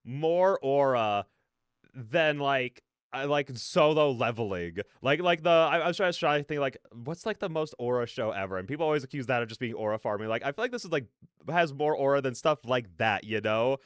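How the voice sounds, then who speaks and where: A man hosting a reaction video, giving casual, slangy and excitable commentary. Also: medium pitch, moderate pace, smooth, no room sound, studio quality.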